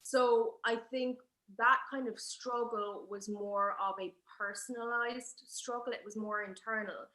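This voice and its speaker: Bright-voiced young Irish woman on video call. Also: a little high, a little slow. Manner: expressive, chatty, laughs easily. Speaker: poet and guest. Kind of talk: spoken word poetry and conversation. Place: living room at home. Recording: echoey room over video call.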